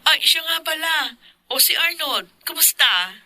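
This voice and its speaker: Loyal, frank best friend, radio drama. Very bright, forward voice, dry close sound. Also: female voice, sits high, expressive, unhurried, no echo, full character voice. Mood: concerned. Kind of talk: Filipino radio drama acting.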